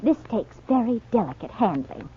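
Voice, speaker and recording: Excitable, animated American lady sleuth. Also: fairly high pitch, normal speed, breathy edge, full character voice. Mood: insistent. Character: excitable, headstrong amateur sleuth wife. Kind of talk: 1940s radio drama acting. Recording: dull 1940s radio recording.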